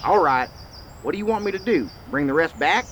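A grumbling, defensive henchman in a western radio play, played by a man. His voice is fairly high and swings expressively, with long reverb around it. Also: animated, fairly quick, fairly bright tone, muffled recording. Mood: defensive, submissive. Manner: complaining, defensive. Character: grumbling, put-upon male outlaw sidekick in western. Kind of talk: western radio drama acting. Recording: long reverb, faint background noise.

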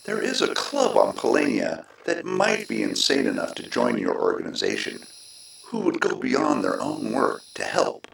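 A man's voice: medium pitch, huge pitch swings, moderate pace, vocal fry, full character voice. A man playing a curious, self-interested alien in a science fiction radio drama, blunt and calculating in manner. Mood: dismissive. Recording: distant, echoey, noisy.

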